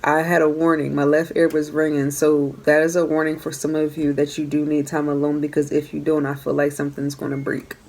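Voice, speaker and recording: A woman giving a casual tarot reading to her viewers, talking earnestly and repeating her phrases, her voice going hoarse. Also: low-pitched, completely flat delivery, fairly quick, hardly any pauses, a little mellow. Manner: earnest, repeats phrases while coaching the listener. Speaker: tarot reader.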